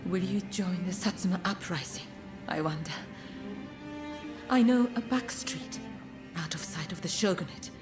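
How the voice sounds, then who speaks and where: A woman playing an earnest, devoted young woman in a game cutscene, speaking softly and hesitantly with formal politeness. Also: on the low side, moderate pace, close to an everyday voice. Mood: tender, relieved. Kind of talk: dramatic video game cutscene acting. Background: music.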